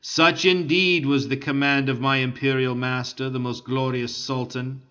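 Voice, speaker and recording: A man reading an audiobook voices a cool, guarded male renegade commander speaking with measured courtesy. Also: medium pitch, flat delivery, bright, forceful tone, clean tone, dull audio, lightly acted.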